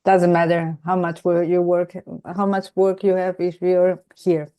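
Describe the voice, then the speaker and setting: Middle-aged woman host from Venezuela; low, mellow voice, slow and thoughtful delivery over a video call. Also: flat delivery, smooth. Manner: unhurried, reflective, affirming. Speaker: podcast host. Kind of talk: casual conversation.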